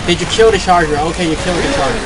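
A young man playing a co-op zombie game over voice chat, sarcastic and quick to complain. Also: high-pitched. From Chinese heritage. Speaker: player. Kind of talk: casual gaming chat. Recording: voice chat with loud game noise.

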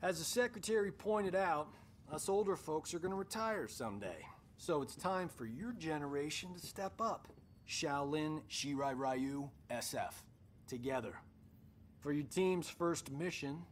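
An actor playing a cocky, wisecracking middle-aged fighter who leads a team in a video game cutscene. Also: male voice, fairly high pitch, animated, measured pace, slight background noise. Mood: wry, commanding. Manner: wry, sarcastic. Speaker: team leader.

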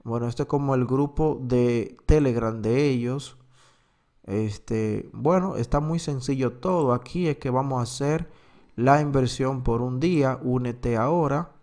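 A man who is a native Spanish speaker, slowly explaining an app on screen with many filler words. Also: mid-range voice, a little mellow. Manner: slow, filler-heavy explaining. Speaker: crypto platform reviewer. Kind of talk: screen-recorded walkthrough.

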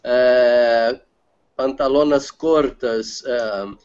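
A man's voice: mid-range voice, very slow, a little mellow, smooth. A man from Brazil, a native Portuguese speaker with a Brazilian accent, speaking hesitantly as a student and searching for words. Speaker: language student. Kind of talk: casual chat. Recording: dull lo-fi video call audio.

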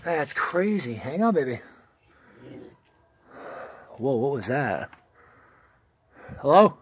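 A man's voice: mid-range voice, sing-song, slow, a little hiss or hum. A man vlogging outdoors on a rocky hike, chatting casually and calling to his dog in a raised, coaxing voice, a little out of breath from climbing. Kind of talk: casual running commentary while hiking. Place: outdoors on rocky canyon slopes. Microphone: handheld camera held while climbing.

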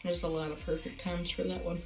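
A woman with a slightly low voice talks casually as a co-player in a game. She sounds like she is on a voice chat with friends, with faint music behind her. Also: little pitch movement, brisk.

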